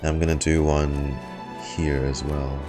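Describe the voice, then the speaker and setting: A man's voice: very deep voice, level tone, a little slow. A man giving casual, sweary gameplay commentary in short muttered reactions, as a streamer. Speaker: streamer reacting to a video game. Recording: game music behind the voice. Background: video game music.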